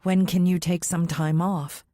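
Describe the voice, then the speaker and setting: A woman's voice: fairly low pitch, flat delivery, a little slow, mellow, no echo, clean studio sound, lightly acted. A woman voicing a frustrated, resentful wife pleading in an audiobook. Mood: disbelieving. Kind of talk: audiobook character dialogue.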